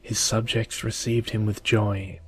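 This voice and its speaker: Low, very even-toned man reading softly. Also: measured pace, soft background music. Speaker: storyteller. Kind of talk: ASMR bedtime story reading.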